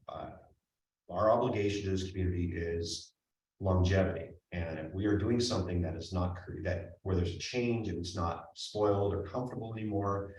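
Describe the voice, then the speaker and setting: A man's voice: low-pitched, darkish tone. A man speaking earnestly at a board meeting, reassuring the board with many restarts. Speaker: health care district administrator. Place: meeting room. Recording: echoey room from far off.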